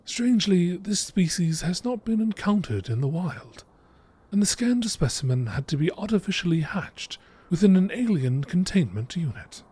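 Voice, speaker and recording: Husky man reading documentary narration. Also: a little high, dark tone. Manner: measured, informative reading. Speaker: reader of video game text. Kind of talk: scripted nature documentary narration.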